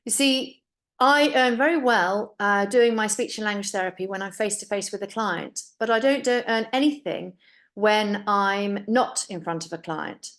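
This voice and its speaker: A woman's voice: medium pitch, moderate pace, fairly bright tone. A middle-aged woman originally from Finland giving an earnest, upbeat sales presentation about a business opportunity, speaking personally to her audience.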